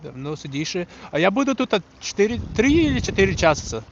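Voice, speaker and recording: Casting: young male livestream host from Ukraine, English as a learned language, slightly high voice, informal chat, faint background noise. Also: big pitch swings, fast, lo-fi sound. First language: not English, learned it after moving to the US. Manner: informal, switches between English and Russian. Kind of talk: casual livestream chat, answering viewers. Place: outdoors on a riverbank in wind.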